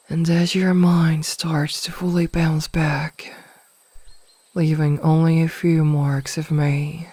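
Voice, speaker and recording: A woman's voice: sits low, dark tone, slightly rough, dry recording, full character voice. A woman playing a possessive, teasing mage in an intimate ASMR fantasy roleplay, speaking in a coaxing, condescendingly sweet way and often slipping into whispers, close to the mic. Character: possessive, smugly teasing adult female mage toying with a captive burglar. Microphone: close microphone, dry.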